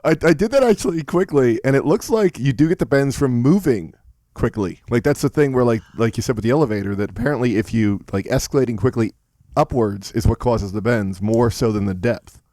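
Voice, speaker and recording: Mellow man, dry close sound. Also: medium pitch, a little fast, dry recording. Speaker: book club panelist. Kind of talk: casual chat.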